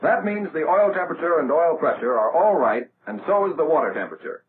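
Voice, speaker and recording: An American man narrating a 1940s military training film in a measured, instructional way, as a scripted voice-over. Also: fairly high pitch, lively intonation. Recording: tinny, band-limited, noisy old film soundtrack.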